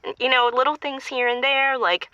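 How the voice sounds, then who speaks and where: A woman playing an exasperated caller to an advice show, telling her relationship woes in a chatty, expressive way. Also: sits high, moderate pace, dry recording, dull audio. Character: exasperated, animated girlfriend phoning for relationship advice.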